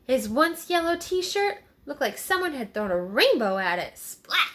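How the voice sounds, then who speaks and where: Bright, unhurried woman reading to children. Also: sits high, big pitch swings. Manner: addresses young children with checking questions. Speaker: teacher reading a picture book aloud. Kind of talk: children's read-aloud narration.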